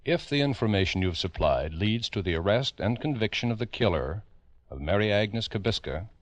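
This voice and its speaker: Dramatic, swooping low-voiced 1950s American radio narrator. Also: male voice, animated. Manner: grave, emphatic, urgent direct address with dramatic pauses. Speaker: narrator and host of a true crime radio show. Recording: dull vintage 1950s radio recording.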